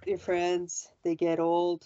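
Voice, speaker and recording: A woman's voice: on the low side, completely flat delivery, mellow, smooth, no echo. Teasing woman in her forties. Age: 40s. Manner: teasing, quick interjections. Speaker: podcast co-host. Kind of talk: casual group chat. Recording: dull lo-fi sound.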